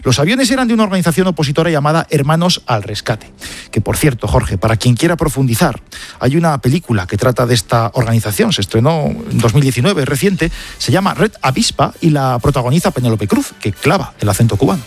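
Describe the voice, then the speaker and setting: A man's voice: medium pitch, animated, fast talker, breathy edge, faint music behind. A man with an accent from Spain presenting a scripted press review on the radio, with conversational asides to a colleague. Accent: Spanish, from Spain.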